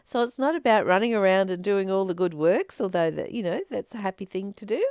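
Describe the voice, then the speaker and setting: A woman reading from a spiritual book and commenting on it informally, in an earnest, emphatic manner with deliberate pauses. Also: medium pitch, lively intonation, a little mellow, clean tone, no room sound, clean studio sound.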